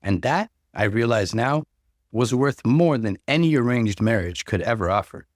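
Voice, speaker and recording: A man hosting a story channel, delivering scripted narration and conversational commentary with expressive emphasis. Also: mid-range voice, moderate pace, no room sound, clean studio sound. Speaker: host reading and commenting on online stories.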